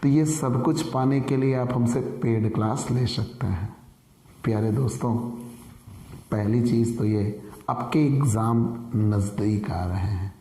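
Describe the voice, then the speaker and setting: A man teaches an online commerce lesson through a sore, hoarse throat. His voice is mellow and warm, and he talks earnestly to his viewers as friends. The sound carries a roomy echo. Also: mid-range voice, a little slow. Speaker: commerce tutor. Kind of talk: online lecture.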